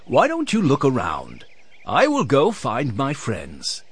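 A man voicing a calm, genial grandfather, an older man, in a children's audiobook. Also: mid-range voice, slow, slightly rough, full character voice.

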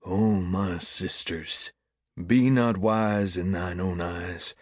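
Guy doing a fired-up old-time revival preacher, big commanding drawn-out oratory, storybook reading, dry sound. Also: deep voice, dry recording. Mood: solemn, beckoning.

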